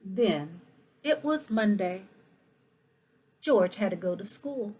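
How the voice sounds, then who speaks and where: Female storyteller for picture books: husky edge, animated and swooping, engages young listeners directly. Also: mid-range voice, takes it slowly. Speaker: school principal reading a picture book aloud. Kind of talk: expressive children's read-aloud with asides to listeners.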